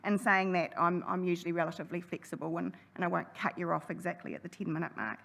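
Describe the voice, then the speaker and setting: A woman chairing a council hearing, running through procedure in a brisk, friendly way. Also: fairly low pitch, gravelly, a bit bright, a little hiss or hum. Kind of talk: formal meeting chairing. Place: council chamber.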